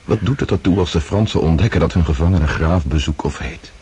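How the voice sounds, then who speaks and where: An actor playing a gentle, reflective Russian count held captive, earnest and contemplative, in a radio drama. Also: male voice, rumbling low, a little fast, husky, full character voice. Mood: serene, resolute.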